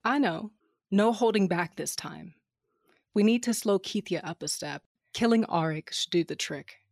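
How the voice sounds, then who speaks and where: A woman's voice: medium pitch, moderate pace, vocal fry, no room sound, studio quality. A woman playing a brash, cynical smuggler captain, narrating an audio drama in a wry, flippant first person. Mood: resolute.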